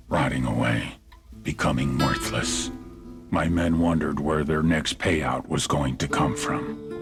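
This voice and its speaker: An actor playing a brooding, grandiose drug cartel boss in a video game cinematic, speaking in measured, solemn phrases. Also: male voice, a bass voice. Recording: music under the voice.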